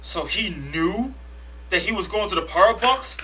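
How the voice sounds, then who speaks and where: An American man hosting a reaction video, reading text aloud and commenting on it in an animated way, repeating phrases for emphasis. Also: sits high, moderate pace, a little hiss or hum, low-quality recording.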